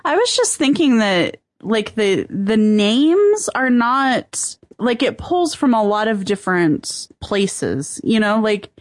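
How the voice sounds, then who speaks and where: A woman's voice: on the high side, big pitch swings, slow, hardly any pauses, dry recording. Woman cohost on a podcast, dry wry comments, close dry sound. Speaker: podcast co-host. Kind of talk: casual chat.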